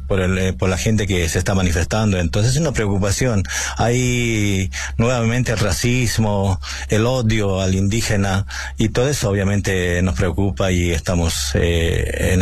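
A middle-aged man from La Paz whose first language is Aymara, answering interview questions earnestly and haltingly with many fillers. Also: deep voice, no room sound. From Bolivia, La Paz. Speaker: interviewee, Bolivian migrant. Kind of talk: phone-style radio interview answers.

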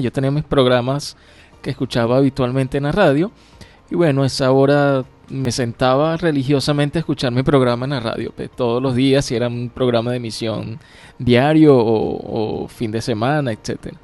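A young Venezuelan man, a radio producer, chatting in a relaxed, rambling way on a live radio interview. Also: medium pitch. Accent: Venezuelan Spanish. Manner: relaxed, rambling, many fillers and laughs.

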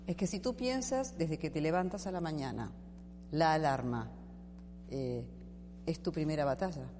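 Female panelist, very low voice, confident and explanatory, hum in the background. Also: normal speed. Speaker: panel guest. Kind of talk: discussion panel. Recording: reverberant room with steady hum.

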